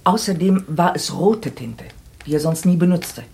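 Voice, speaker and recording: A woman's voice: fairly low pitch, expressive, moderate pace, darkish tone. An actress playing an assertive, coolly reasoning lady doctor in a German radio mystery, speaking precisely and emphatically. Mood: accusing, precise. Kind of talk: German radio crime drama acting.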